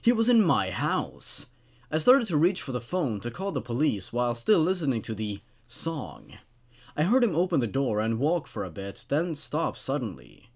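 A young man in his twenties narrates creepy stories into a studio microphone. His mid-pitched voice swings widely as he reads with drama, then drops into casual, wry commentary. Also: wildly swooping pitch, moderate pace. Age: 20s. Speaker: host reading horror stories. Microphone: studio condenser microphone on a stand.

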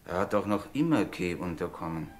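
A man's voice: low voice, expressive, brisk. A man playing a jovial, blunt village blacksmith in a German radio drama, talking in a folksy, hearty way. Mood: chatty. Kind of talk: mid-century German radio drama acting. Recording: 1960s radio play with music behind.

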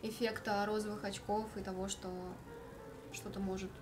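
A woman's voice: medium pitch, level tone, moderate pace, music in the background. A young woman on a home stream, talking earnestly and giving advice about relationships. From Russia. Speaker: stream co-host. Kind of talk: casual chat. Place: living room, indoors.

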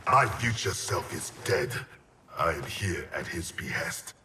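An actor playing a vengeful, grave ninja clan master in a video game, speaking formally and solemnly. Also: male voice, low-pitched, animated, unhurried, background noise. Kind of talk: dramatic video game cutscene acting. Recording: loud music behind the voice.

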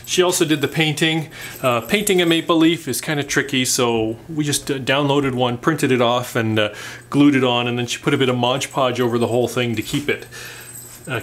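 A middle-aged man from Canada hosting a woodworking channel, explaining a small craft project in a relaxed, chatty way with plenty of "uh"s and "um"s, in his home workshop. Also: medium pitch, slight background noise. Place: home woodworking shop, indoors.

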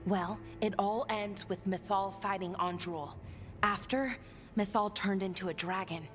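A woman's voice: mid-range voice, steady tone, unhurried, close to an everyday voice. An actress playing a matter-of-fact young woman telling elven lore in a fantasy game. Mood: matter-of-fact, thoughtful. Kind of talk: conversational video game cutscene dialogue. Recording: faint music under dialogue.

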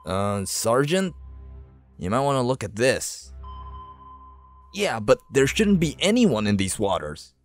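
A man's voice: medium pitch, big pitch swings, takes it slowly, pauses often, soft background music, voice pushed up. A man voicing a nervous young soldier at sea, hesitant and anxious, in game character style.

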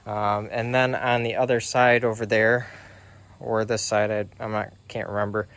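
A man casually walking through his trailer build outdoors, talking slowly with lots of ums and uhs. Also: lowish voice. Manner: slow, relaxed, many ums and uhs. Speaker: DIY sawmill builder. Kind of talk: casual workshop walkthrough.

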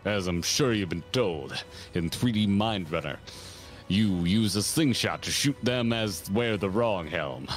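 A man reading as a deep-voiced dwarf observer. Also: expressive, faint music behind. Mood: hearty. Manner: deep, slow-read dwarf lilt ending in eh. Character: bluff male dwarf trial observer.

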